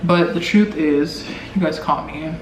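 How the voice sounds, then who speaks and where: A man's voice: fairly high pitch, measured pace. An eighteen-year-old man with an American accent, chatting casually and ramblingly to camera with lots of filler words. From Omaha, Nebraska, United States. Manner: informal, rambling, heavy use of "like". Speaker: vlogger. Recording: echoey noisy room, distant mic.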